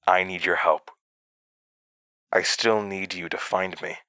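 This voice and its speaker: An actor in an audio drama playing a mysterious, volatile male entity living inside someone's head, speaking in a halting, cryptic way. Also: low-pitched, steady tone, pushed and bright, heavily acted. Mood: controlled, needy. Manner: halting, cryptic, swinging from muttering to outbursts. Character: fragmented mysterious male entity implanted in another's mind, volatile. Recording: music behind.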